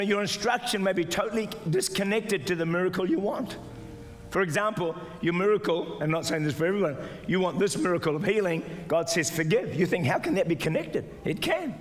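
An older man preaching a sermon as pastor, exhorting the congregation, sometimes shouting and mimicking doubters in a higher voice. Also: lively intonation, fairly quick. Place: large church auditorium with stage. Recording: live church hall reverb with music. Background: soft music and congregation responses.

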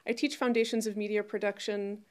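A woman's voice: mid-range voice, little pitch movement, a little fast, darkish tone. A woman in her forties, a lecturer, giving a scripted introduction to camera. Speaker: lecturer and filmmaker presenting. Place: indoor studio with curtain backdrop.

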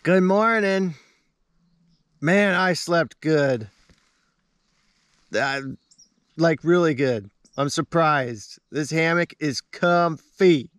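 A man's voice: on the high side, drawn-out words, long pauses, fairly bright tone, no echo. A man in his thirties chatting casually to camera in a laid-back, easygoing way from inside a small tent outdoors. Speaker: camping vlogger. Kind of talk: casual vlog chat to camera.

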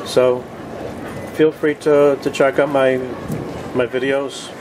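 A man giving a casual video tour in short, halting, plainspoken phrases, recorded outdoors on a boat deck with a handheld camera. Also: medium pitch, little pitch movement. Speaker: host of a cooking vlog. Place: open deck of a boat on the water. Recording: outdoor ambient noise.

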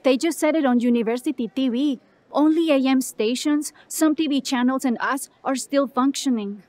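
Woman acting high-voiced office clerk. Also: normal speed, darkish tone, dry recording, lightly acted. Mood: matter-of-fact. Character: matter-of-fact Mexican woman telegraph office clerk.

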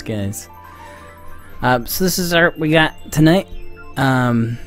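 A young man chatting casually on a livestream, rambling and self-mocking in a distracted way. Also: mid-range voice. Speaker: video game livestreamer. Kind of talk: casual livestream chat to viewers. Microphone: microphone on a boom arm. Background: music.

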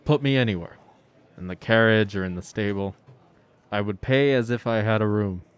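A man playing a gentle, courteous stranger in a radio drama, speaking in a measured way. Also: lowish voice, clean tone, lightly acted. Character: gentle, kindly male stranger, a traveler.

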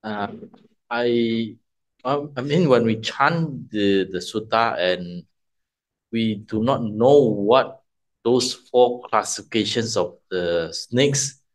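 A man asking a question in a Q&A, halting with many uhs and restarts. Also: fairly low pitch, rough recording. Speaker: retreat participant asking a question.

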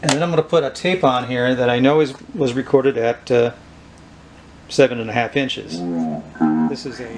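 A man hosting a casual hands-on demonstration, explaining things in an unhurried, informal way. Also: mid-range voice, soft background music, rough recording. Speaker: host of a vintage electronics video. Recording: clear steady background noise.

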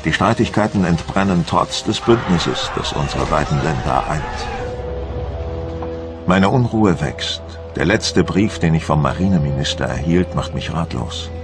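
An actor playing a troubled, despondent French admiral, recounting his failing campaign gravely in a documentary drama. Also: rumbling low, expressive, measured pace, heavily acted. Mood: brooding, worried. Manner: grave, brooding. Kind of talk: first-person documentary drama narration. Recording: loud background music under the voice. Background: music.

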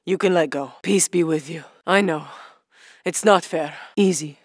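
A woman's voice: unhurried, breathy edge, dry recording, studio quality. An actress playing a tough female guerrilla fighter, barking short combat callouts in a video game. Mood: soft, soothing. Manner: barked combat callouts, then low calm asides. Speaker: game soldier character.

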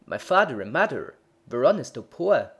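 A man's voice: medium pitch, sing-song, normal speed, husky, highs cut off, voice pushed up. A man voicing a mild, tearful baker. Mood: plaintive. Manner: plaintive and anxious.

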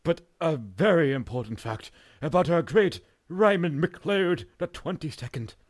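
A man playing a mock-learned documentary expert in a comedy sketch, pompous, deliberate and solemnly absurd. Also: fairly high pitch, animated, dry recording, studio quality.

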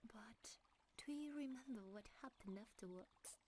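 A woman voicing a gentle, loving, timeless deer-centaur forest creature in a romantic ASMR roleplay, with a soft, breathy, often whispering voice and a calm, tender manner. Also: a little high, background noise, natural voice. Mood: tender, nostalgic. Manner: calm, delicate, tender, unhurried.

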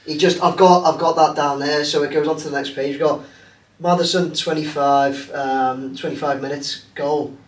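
A man's voice: a little high, fairly even intonation, moderate pace. A man hosting a casual football chat show, rambling and hesitant with lots of fillers. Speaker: host. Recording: roomy, distant mic, faint hiss.

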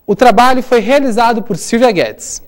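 A man's voice: very high voice, animated, moderate pace. A young man presenting a student newscast, speaking straight to the viewer. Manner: addresses the viewer directly. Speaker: news presenter. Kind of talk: scripted news presentation. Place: TV studio.

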